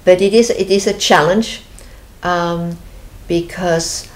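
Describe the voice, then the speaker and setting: A woman's voice: lowish voice, steady tone, slow, slight background noise. A middle-aged woman from Switzerland with a Swiss accent, hosting a TV interview in an earnest way and often restarting her phrases. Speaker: host. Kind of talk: conversational TV interview.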